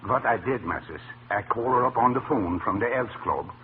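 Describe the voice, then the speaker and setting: A man's voice: fairly low pitch, animated, normal speed, natural voice. An actor playing a folksy Scandinavian immigrant family man in broken English, in a 1940s radio comedy style. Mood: grumbling, folksy. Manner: broken English, plain-spoken. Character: folksy immigrant family man, grumbling about his in-laws. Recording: noisy, tinny old radio broadcast.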